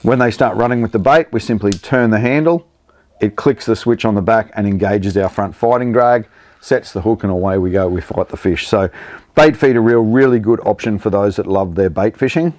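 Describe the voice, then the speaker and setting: A man's voice: on the low side, moderate pace, darkish tone, no room sound. A man in his forties with an Australian accent, presenting fishing gear in a studio with upbeat, enthusiastic sales patter. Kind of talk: product demonstration. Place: indoor studio set with product table.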